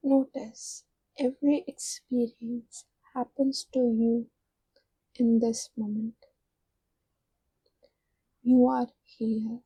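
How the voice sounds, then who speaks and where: A woman leading a guided meditation in a soft, soothing manner, giving unhurried instructions with long pauses. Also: fairly high pitch, flat delivery, slightly rough, no room sound, low-quality recording.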